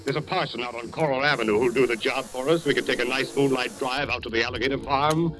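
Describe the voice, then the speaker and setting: An actor playing a folksy, forward suitor with a breezy, coaxing manner in a 1940s radio suspense drama. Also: male voice, mid-range voice, fast talker, a crowd in the background, natural voice. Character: folksy, forward suitor, a hotel man from Maine. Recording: hissy old radio recording with music.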